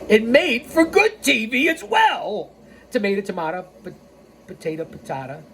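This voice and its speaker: A woman narrates a scripted history as the host of a story hour. Her voice sits at a middle pitch, and she slips in playful, casual asides. There is noticeable noise behind her. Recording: clearly noisy background.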